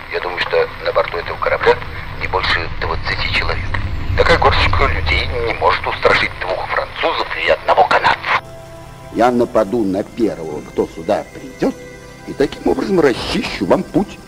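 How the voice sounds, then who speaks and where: An actor in a radio play voicing a bold, hot-tempered harpooner with a blunt, forceful manner. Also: male voice, deep voice, lively intonation, normal speed, faint music behind, poor audio. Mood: grim resolve.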